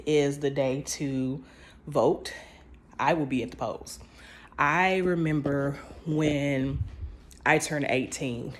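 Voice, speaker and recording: A woman talking straight to her viewers in a casual, emphatic commentary, speaking informally and restarting her phrases as she goes. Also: low voice. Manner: informal speech with dropped grammar. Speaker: vlogger.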